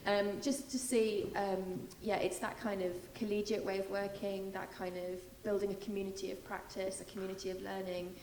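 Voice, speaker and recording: A British woman on a panel answering a question in a measured way, with many ums. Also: mid-range voice, level tone, darkish tone, a little hiss or hum. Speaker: programme panelist. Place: large hall. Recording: echoey hall with faint ambience.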